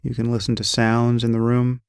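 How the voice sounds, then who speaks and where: A man calmly guiding a meditation, giving slow, gentle instructions about breath and sensation. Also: medium pitch, gravelly, clear, resonant tone, no echo, studio quality. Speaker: meditation guide. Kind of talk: guided meditation.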